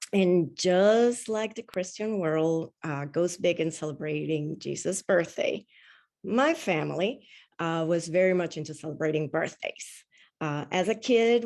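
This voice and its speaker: A woman from Rico, a Spanish speaker, giving a storytelling talk at a spiritual service with frequent uhs. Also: lowish voice. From Puerto Rico. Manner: warm, unhurried storytelling with frequent "uh". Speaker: guest speaker.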